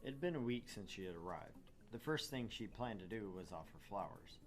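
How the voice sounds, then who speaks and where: Low-voiced man narrating a visual novel. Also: animated, a little fast, soft background music. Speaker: narrator and gaming channel host.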